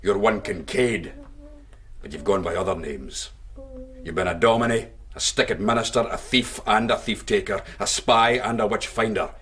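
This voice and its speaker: An actor playing a bold, shrewd, commanding fugitive soldier with a Scottish accent and a theatrical streak, in period radio drama. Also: male voice, fairly low pitch, moderate pace, fairly bright tone, close to an everyday voice. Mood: stern, commanding.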